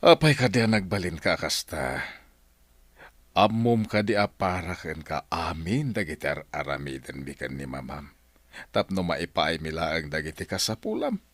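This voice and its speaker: A man's voice: low-pitched, a bit bright, dry recording, heavily acted. A man with an Ilocano accent playing an exasperated father in a village radio drama. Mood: scolding.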